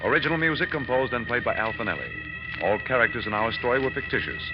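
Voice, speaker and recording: A man's voice: mid-range voice, animated, fast. A man announcing credits formally and emphatically in the style of 1950s radio. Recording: muffled old radio, loud music, noise.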